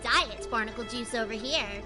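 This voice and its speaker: A woman playing a blind hooded cultist in a video game, earnest and reverent with a dry deadpan. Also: on the high side, normal speed, music in the background, natural voice.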